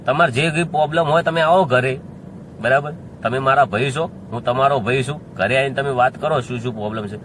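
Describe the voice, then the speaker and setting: A man with a North Gujarat accent speaks straight to viewers in an informal, insistent way. His mid-pitched voice is defiant and circles back to the same points, with clear noise behind it. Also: brisk, a bit bright. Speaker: singer addressing community critics. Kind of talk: informal spoken address to viewers.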